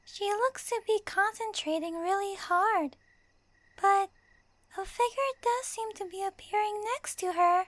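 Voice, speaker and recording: Squeaky, chirpy little fairy companion. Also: female voice, a bit bright, no echo, exaggerated voice. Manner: squeaky, exclaiming. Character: small childlike floating fairy companion, chirpy, speaks in third person. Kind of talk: fan audio roleplay, game character voice acting.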